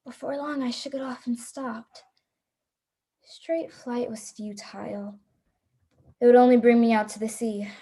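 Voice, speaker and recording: Radio play heroine played by a woman: hunted, resolute, dramatic first-person storytelling in a soft-toned, fairly high voice. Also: close to an everyday voice. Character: frightened, resolute young woman, hunted shipwreck survivor.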